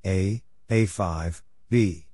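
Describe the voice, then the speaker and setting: A man reading out short scripted phrases as a practice narrator, deliberately spelling things letter by letter. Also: low-pitched, mellow, dry recording, clean studio sound. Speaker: Morse code practice narrator.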